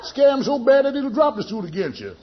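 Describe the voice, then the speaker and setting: A man's voice: very high voice, sing-song, fairly quick, full character voice. A man playing a shady, self-important cut-rate lawyer in a 1940s radio sitcom, pompously drawing out his explanations. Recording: muffled vintage radio recording.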